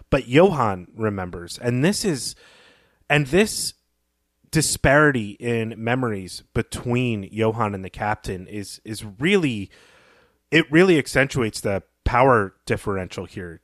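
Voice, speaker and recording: A man's voice: fairly low pitch, no echo, studio quality. A man co-hosting a podcast, analysing a story in a reflective, conversational way as he pieces his thoughts together. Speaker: podcast co-host.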